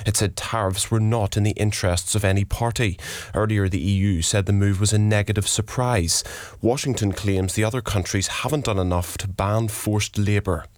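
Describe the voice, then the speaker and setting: A man's voice: on the low side, steady tone, moderate pace, few pauses, dry recording. A man reading scripted radio news as a newsreader. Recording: dry studio sound.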